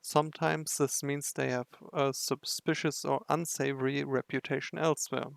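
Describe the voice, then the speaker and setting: Man, casual game commentary, low-pitched, hesitant, clear tone, dry close sound. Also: little pitch movement, no room sound. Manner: hesitant, halting, thinking aloud. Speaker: game video narrator and player.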